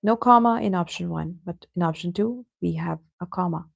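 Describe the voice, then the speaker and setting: Gravelly-voiced online English teacher woman. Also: fairly low pitch, moderate pace, dry recording. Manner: patient, addresses students by name. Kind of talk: live online class teaching.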